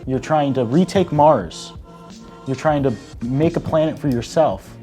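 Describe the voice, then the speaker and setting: A man's voice: a little high, unhurried, warm tone, soft background music. A man arguing his points as a panelist in a casual chat show. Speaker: debate panelist.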